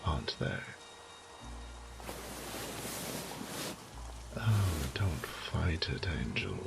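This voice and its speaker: A man playing a suave, sadistic vampire lord in a horror audio roleplay, with a theatrical, ornate, taunting delivery that often drops to a breathy whisper. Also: very deep voice, background noise, exaggerated voice. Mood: coaxing, menacing. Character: suave, sadistic male vampire lord toying with his captive prey.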